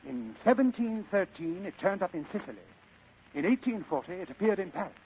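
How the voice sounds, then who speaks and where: An actor playing a genteel, scheming fat crime boss in old radio drama style, pompous, florid and ornately courteous, with a husky voice. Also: male voice, high-pitched, big pitch swings, fairly quick, slight background noise. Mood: grand, savoring. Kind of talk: 1940s-style radio acting. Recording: muffled old radio recording.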